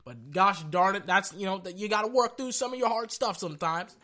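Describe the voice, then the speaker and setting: A young man hosting a casual sports podcast, brash and excitable, talking through a sore throat as he loses his voice. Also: sits high, fairly quick. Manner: brash and excitable, hypes with "ladies and gentlemen".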